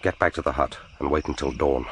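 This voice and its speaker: An actor in a radio drama playing a grim, vengeful spy in brooding, sardonic inner monologue. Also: male voice, very deep voice, a little fast. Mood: tense, bitter. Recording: noisy radio drama recording.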